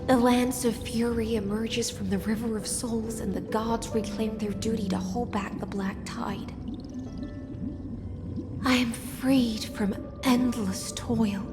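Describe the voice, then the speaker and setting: Slow, sorrowful sea siren, reverberant. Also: female voice, on the high side, steady tone. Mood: wistful, solemn. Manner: poetic, slow, grave. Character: sorrowful lone sea siren turned graceful human, solemn. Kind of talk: dramatic game monologue. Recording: long hall reverb, music behind.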